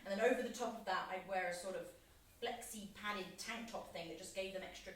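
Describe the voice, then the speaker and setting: A woman's voice: medium pitch, brisk, natural voice. An actress playing a blunt, guarded young woman in a naturalistic audio drama, with a frank, dry, reserved manner. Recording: very echoey, distant, faint noise.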